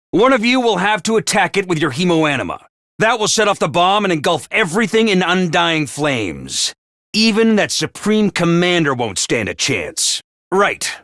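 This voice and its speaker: An actor playing a brisk, scheming male academy official who is theatrical and cold, swinging between booming orders and hushed asides. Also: no room sound, heavily acted. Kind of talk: video game voice lines. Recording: dry studio voice recording.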